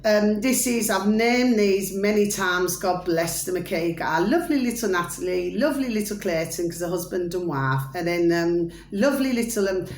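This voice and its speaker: A woman, chatting loudly and ramblingly to her viewers as she talks through videos, with lots of fillers and repeated asides. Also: mid-range voice, expressive, moderate pace, hardly any pauses. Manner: loud, chatty, rambling, keeps addressing viewers with a pet phrase. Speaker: vlogger reviewing videos. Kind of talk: rambling casual commentary to viewers. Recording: echoey room with faint noise.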